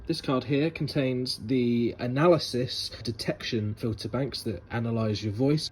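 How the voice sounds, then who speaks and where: A man's voice: medium pitch, darkish tone, slight background noise. A man presenting an electronics repair in a casual, informal technical explainer style, with playful asides.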